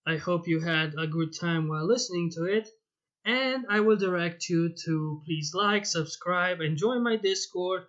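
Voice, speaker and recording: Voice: medium pitch, a little slow, a bit bright, highs cut off. A fan fiction narrator reading a scripted story aloud, with a channel host's direct address. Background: music.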